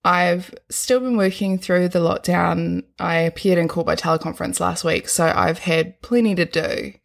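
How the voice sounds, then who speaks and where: Husky New Zealand woman hosting a podcast. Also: on the low side. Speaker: podcast host. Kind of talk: scripted podcast monologue.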